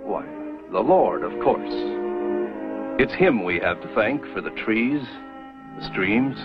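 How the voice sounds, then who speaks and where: An actor playing a devout pioneer who speaks in an earnest, faithful way, on a dull old TV soundtrack with music. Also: male voice, fairly low pitch, animated, takes it slowly. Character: devout middle-aged male pioneer believer. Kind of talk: 1950s TV western acting.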